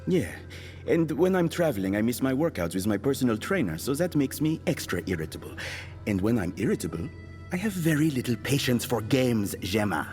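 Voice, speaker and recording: An actor playing an irritable, world-weary hired killer in an audio drama, dry, sarcastic and menacing. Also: male voice, mid-range voice, big pitch swings, a little fast, a little mellow, big-room sound, faint music behind, close to an everyday voice. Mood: dry menace.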